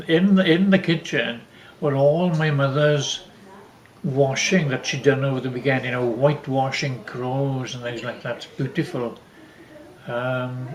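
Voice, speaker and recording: An older man reminisces casually in a reverberant room. He rambles through family stories, stopping and restarting phrases as he goes, in a middle-pitched voice. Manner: rambling, halting with repeated false starts. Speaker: father telling family stories. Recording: echoey room.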